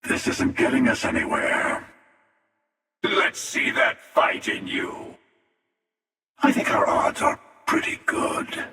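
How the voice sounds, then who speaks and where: An older man playing an ancient, sardonic and menacing starfaring hunter in a video game, with a gravelly, wry, taunting drawl. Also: sits low, animated, takes it slowly, pauses often, bright, forceful tone, recorded from a distance, exaggerated voice. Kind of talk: scripted video game character dialogue. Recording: cavernous reverb, voice set back from mic.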